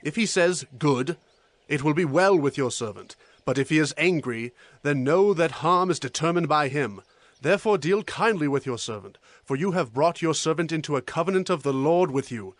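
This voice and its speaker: An actor playing an earnest, pleading young fugitive in a dramatized scripture recording. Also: male voice, on the high side, expressive, moderate pace, dry recording, close to an everyday voice. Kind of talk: dramatized scripture audio acting.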